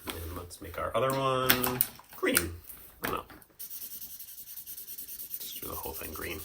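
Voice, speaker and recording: A man's voice: low voice, animated, unhurried, creaky, background noise. A man hosting a children's drawing lesson, casually talking through each step in an informal, playful way with simple explanations. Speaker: host of a children's drawing segment.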